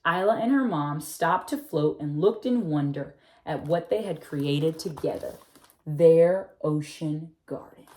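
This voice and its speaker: A woman reads a children's picture book aloud in a slightly low voice. She is expressive and drawn out, often slowing down to stretch her phrases. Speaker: read-aloud narrator.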